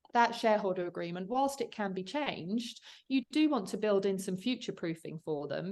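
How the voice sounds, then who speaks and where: A woman's voice: mid-range voice, a little mellow. A woman commercial solicitor, a guest on the show, explaining in an earnest, conversational way with frequent fillers and restarts. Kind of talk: conversational interview.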